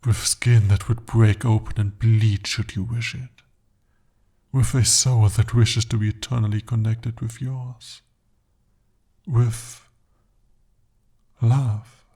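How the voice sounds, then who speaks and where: Young man as a possessive bound demon for ASMR: low, breathy, slow, dark and intimate. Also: little pitch movement. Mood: adoring, intense. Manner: intimate, soft-spoken, slow and deliberate. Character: jealous, possessive male demon bound to a sorcerer. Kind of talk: ASMR roleplay.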